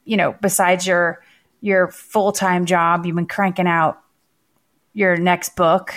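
A woman co-hosting a podcast in casual chat, her voice raspy from laryngitis, talking quickly with many fillers. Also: on the low side, level tone, a bit bright. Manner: chatty, quick, full of fillers. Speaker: podcast co-host.

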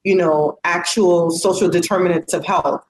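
A woman's voice: lowish voice, fairly even intonation, normal speed, a little hiss or hum. Outspoken woman talking in an echoey room. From United States. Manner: earnest and outspoken, with frequent fillers. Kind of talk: casual conversation.

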